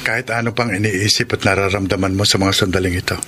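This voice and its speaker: A man with a Filipino accent playing a kind, sincere suitor in a radio drama, speaking deliberately. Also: deep voice, steady tone, bright, forceful tone, a little hiss or hum. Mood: earnest, tender. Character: kind, sincere older suitor confessing love to his housemaid.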